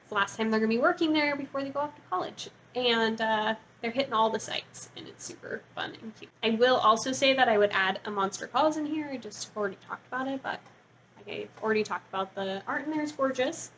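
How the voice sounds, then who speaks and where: A woman with a fairly high voice talks casually, as a book vlogger would to her viewers. Her delivery is informal and gushing, sprinkled with "like". Some faint noise sits behind her voice. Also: brisk, a bit bright, low-quality recording. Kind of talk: casual chatty book review to camera.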